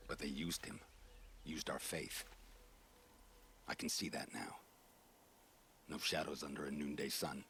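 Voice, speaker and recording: An actor playing a bitter, world-weary soldier captain in a video game, speaking gravely and with measured weight. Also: male voice, fairly bright tone, a little hiss or hum, heavily acted. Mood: resigned. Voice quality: deep, gravelly. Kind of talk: dramatic video game acting.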